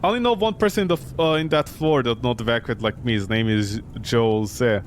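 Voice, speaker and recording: A man's voice: medium pitch, a little fast, slight background noise, pitched below the performer's own voice, lightly acted. A man voicing an anxious, stubborn old woman tenant in a visual novel, with stilted formal phrasing. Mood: worried, mournful.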